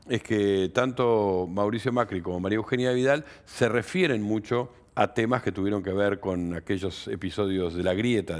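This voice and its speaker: A man in his sixties with an Argentine accent, explaining things analytically as an interview guest and often adding tag questions. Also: fairly low pitch. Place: indoor studio set. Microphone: headset.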